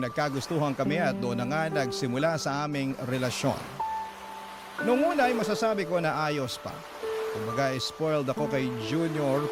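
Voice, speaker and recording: A man's voice: medium pitch, close to an everyday voice. A man voicing a letter writer, a mother recounting past heartbreak, as first-person radio drama narration. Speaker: narrator reading a letter sender's story. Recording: loud music bed under voice.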